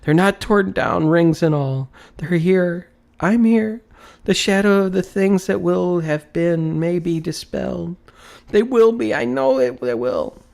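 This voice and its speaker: Storybook old man, ecstatic and exuberant, high excitable voice, breathless wonder. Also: a little slow, gravelly, full character voice. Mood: giddy, elated. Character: old man reborn, giddy, overjoyed, exclaiming in wonder. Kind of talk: dramatic storybook reading.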